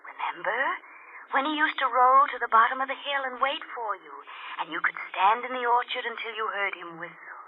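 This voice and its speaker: An actress playing the vengeful ghost of a young woman in 1940s radio horror, coaxing and insistent with sinister, drawn-out words. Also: on the high side, close to an everyday voice. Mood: wistful, taunting. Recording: scratchy, muffled, noisy old radio recording.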